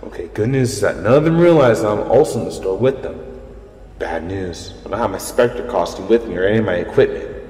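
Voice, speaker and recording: A man's voice: on the low side, animated, brisk, darkish tone, lightly acted. A man playing a thrill-seeking secret superhero who narrates in first person in an audio drama. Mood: worried, hushed. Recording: echoey reverb under background music.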